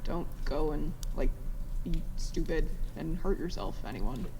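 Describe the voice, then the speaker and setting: A woman's voice: sits low, expressive, a little slow. A woman playing a blunt, slangy, grumbling ship's mechanic in a science fiction audio drama. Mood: grumpy. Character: Miles, blunt young tech mechanic in space crew. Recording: long reverb, faint noise.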